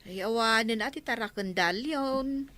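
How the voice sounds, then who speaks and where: A woman's voice: fairly high pitch, moderate pace, fairly bright tone, no room sound, natural voice. An actress playing a matter-of-fact gossiping village woman with an Ilocano accent in a radio drama. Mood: opinionated. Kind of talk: Filipino radio drama acting.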